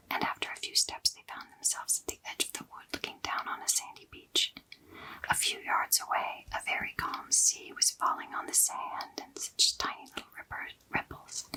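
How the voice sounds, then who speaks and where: A woman reading a children's novel aloud in a soft unvoiced ASMR whisper. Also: rough recording. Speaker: storyteller.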